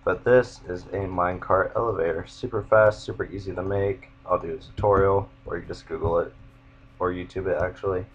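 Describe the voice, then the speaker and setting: A young man with a monotone voice, casually rambling as he walks viewers through his game builds. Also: deep voice, fairly quick, slightly rough, dull audio. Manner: rambling, self-interrupting. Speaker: gamer showing his build.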